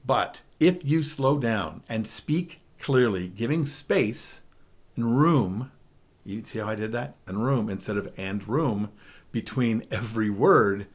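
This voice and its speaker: A middle-aged man with a baritone voice giving a DJ training talk, emphatic, often checking in with "okay?". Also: animated, slow. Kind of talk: spoken presentation.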